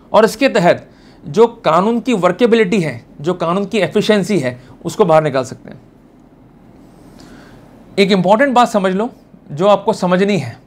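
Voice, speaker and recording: High-pitched Indian man giving a law lecture. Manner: reads English quotations slowly and deliberately, then explains informally. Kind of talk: explanatory lecture mixing Hindi with English legal terms.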